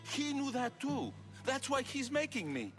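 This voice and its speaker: A man's voice: extremely high pitch, very animated, unhurried, a bit bright, exaggerated voice. An actor playing a cornered, desperate older man in a video game, evasive and defensive. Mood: desperate, remorseful. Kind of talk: video game cutscene acting. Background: background music.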